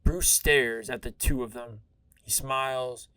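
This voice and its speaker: A man's voice: mid-range voice, steady tone, unhurried, sharp tone, clear, resonant tone, dry recording. A man reading dramatic scripted narration for an audio drama.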